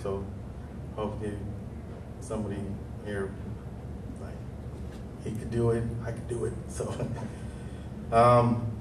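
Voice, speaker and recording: A man in his thirties from Stockton, California with a deep voice, telling his life story on a panel, nervous and hesitant with many pauses. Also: level tone, reverberant, recorded from a distance, background noise. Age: 30s. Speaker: panel speaker. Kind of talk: nervous personal testimony. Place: large hall.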